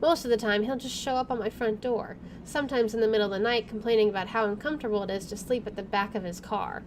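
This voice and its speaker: A woman hosting a gaming video, reading visual novel narration aloud in an expressive way. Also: on the high side, quick pace, a little hiss or hum. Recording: faint background game ambience.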